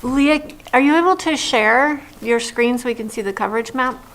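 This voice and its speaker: A woman's voice: a little high, moderate pace, fairly bright tone. A woman board member discussing business at a public meeting in a frank, reasoned way.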